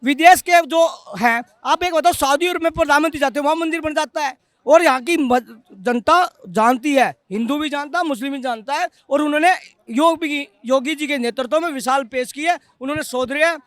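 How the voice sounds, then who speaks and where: Male vox pop interviewee, extremely high-pitched, very little echo. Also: brisk, fairly bright tone. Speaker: member of the public being interviewed. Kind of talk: street interview opinion.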